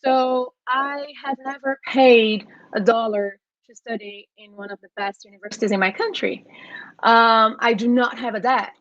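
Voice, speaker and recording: A woman whose first language is Portuguese hosts an online panel over a video call. Her fairly high voice comes through dull, lo-fi call audio with a dry sound. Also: steady tone, normal speed, fairly bright tone, no echo. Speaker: panel moderator.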